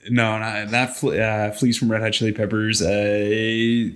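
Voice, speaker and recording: Low-voiced man in casual podcast chat. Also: flat delivery, measured pace, fairly bright tone. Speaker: podcast co-host.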